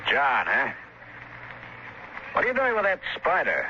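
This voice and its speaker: A man with an American accent acting in a 1950s radio drama as a gruff, suspicious prospector father on Mars. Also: mid-range voice, huge pitch swings, unhurried, bright, forceful tone, exaggerated voice. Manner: gruff, folksy, barking orders. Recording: noisy, muffled 1950s radio recording.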